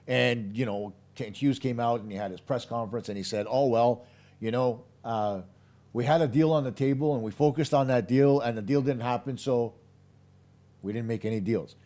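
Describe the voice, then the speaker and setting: Hoarse, emphatic hockey host. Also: male voice, mid-range voice, moderate pace, mellow. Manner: emphatic, opinionated, speaks straight to viewers. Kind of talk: live post-game hockey analysis.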